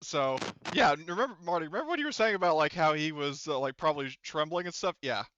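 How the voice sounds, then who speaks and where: A man casually running an online tabletop game as game master, halting, giggly and sweary, on a slightly muffled close mic. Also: on the high side, lively intonation, a bit bright, dry recording.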